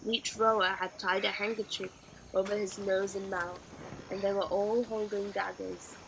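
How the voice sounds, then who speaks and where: Bright-voiced woman reading a storybook. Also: medium pitch, flat delivery, normal speed, gravelly, a little hiss or hum, poor audio. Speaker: storybook reader. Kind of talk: expressive audiobook narration of a children's novel.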